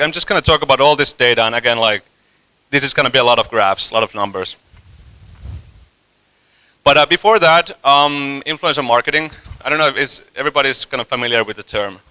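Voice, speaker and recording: Man in his 30s, conference speaker, very bright voice, dry close sound. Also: mid-range voice, clean tone, no room sound, poor audio. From Finland. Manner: casual, loose and joking, many fillers and restarts. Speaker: conference speaker, company CEO. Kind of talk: conference talk. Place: conference hall stage.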